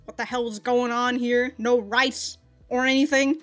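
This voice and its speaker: A woman's voice: on the high side, fairly even intonation, a little slow, fairly bright tone, soft background music. A woman giving casual, off-the-cuff reaction commentary in a slangy, profane, giggly manner. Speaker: anime reaction reviewer.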